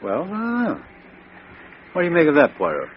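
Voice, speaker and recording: An actor playing a blunt middle-aged police chief inspector who speaks briskly, in 1950s radio drama style. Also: male voice, fairly high pitch, huge pitch swings, slight background noise. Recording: dull old radio recording.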